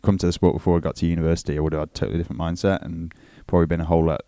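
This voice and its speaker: Man, twenties, athlete guest. Very low pitch, brisk, matter-of-fact, candid. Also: flat delivery, dark tone, smooth, no echo, studio quality. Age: 20s. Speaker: cyclist, podcast guest. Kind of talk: casual chat. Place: small cluttered office, indoors.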